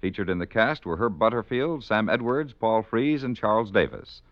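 Male vintage radio announcer, lowish voice, animated pitch, formal polished delivery, dull recording, faint music. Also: normal speed, dry recording.

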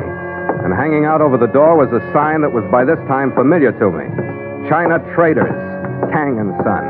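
Over thin, tinny vintage radio sound with loud music, a seasoned American sea captain played by a male actor tells his tale. His delivery is clipped, hard-boiled and matter-of-fact, at a middling pitch, in 1940s adventure serial style. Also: lightly acted. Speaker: lead actor and narrator. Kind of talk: 1940s radio adventure acting, scripted narration.

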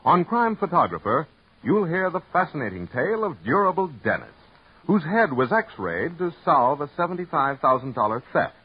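A man delivers network promos in polished vintage-radio style, his somewhat high, husky voice rising and falling. The recording is dull and muffled, like an archival broadcast. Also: very animated, normal speed. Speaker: radio announcer. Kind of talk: 1950s network radio announcement.

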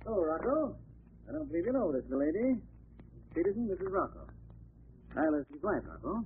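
An actor playing a polite, persuasive go-between for gangsters in an old radio crime drama. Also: male voice, high-pitched, huge pitch swings, moderate pace, slight background noise, full character voice. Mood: deferential. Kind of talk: 1940s radio acting. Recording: tinny, telephone-like old radio recording.

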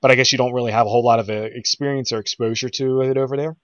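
A man's voice: medium pitch, steady tone, very fast, fairly bright tone, no echo. Man, casual interviewer, teasing and loose, muffled dry recording. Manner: joking, rambling questions with fillers. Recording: dry, dull lo-fi sound.

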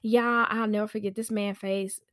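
A woman telling a personal story on a podcast in a chatty, animated, slangy way, acting out conversations as she goes. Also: medium pitch, moderate pace, no room sound, studio quality. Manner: informal slangy speech. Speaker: podcast host and nanny.

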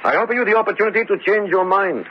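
An actor playing a courtly, sinister, ageless Baron with a formal, suave and pompous manner in a horror radio drama. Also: male voice, high voice, lively intonation, rapid-fire. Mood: grave, condescending. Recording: dull radio recording, faint noise.

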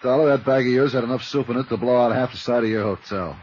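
A man playing a skeptical homicide lieutenant in 1950s radio style, gruff and offhand. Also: medium pitch, fast, darkish tone, natural voice. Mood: frank. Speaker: supporting radio actor. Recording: muffled, noisy old radio recording.

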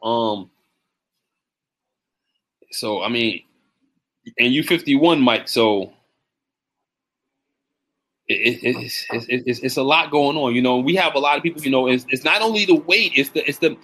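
A man in his forties hosting a casual live stream as a fitness coach, giving shout-outs and advice with lots of repeated words. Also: mid-range voice, unhurried, pauses often. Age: 40s.

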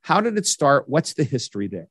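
A man's voice: mid-range voice, big pitch swings, a little fast, breathy edge, no room sound. A man hosting an interview show, enthusiastic and upbeat as he gives announcements and introduces his guest. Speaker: podcast host.

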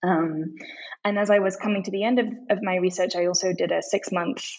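A woman's voice: fairly low pitch, steady tone, a little fast, a little mellow, lo-fi sound, slight background noise. A woman in her thirties, an author and translator, answering interview questions thoughtfully with frequent ums and restarts. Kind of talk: podcast interview.

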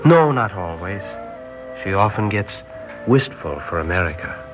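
A man's voice: deep voice, big pitch swings, unhurried. An actor playing a polite, hesitant nineteen-year-old seaman from Northern Ireland in an old radio drama. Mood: fond reminiscing. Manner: polite, hesitant, formal British phrasing. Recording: dull old radio recording, music behind.

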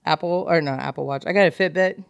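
A woman's voice: low voice, quick pace, creaky, smooth, no room sound, clean studio sound. A woman chatting casually as a podcast co-host, candid and joking with salty language. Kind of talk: casual chat about books.

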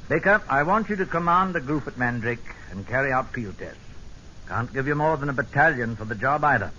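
A hearty British army general, middle-aged and clubbish, in a vintage radio play. His delivery swings up and down with a bright, forceful edge, in clipped, hemming phrases. The recording is dull with some noise. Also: mid-range voice, lively intonation, brisk. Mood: brisk, hearty. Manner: clipped, hemming, hearty upper-class officer talk. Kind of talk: old-time radio drama acting.

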